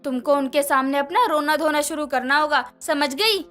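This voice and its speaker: A scheming middle-aged mother-in-law from a cartoon story, voiced by a woman, snapping orders in a high, bright voice. She scolds and wheedles by turns. Faint music plays behind her. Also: normal speed. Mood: bossy. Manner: bossy, scolding. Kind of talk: cartoon voice acting.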